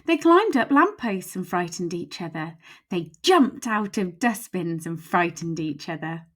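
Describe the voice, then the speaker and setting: A woman's voice: lowish voice, lively intonation, measured pace, fairly bright tone. A woman storyteller reading a children's picture book aloud expressively, with emphatic, suspenseful phrasing.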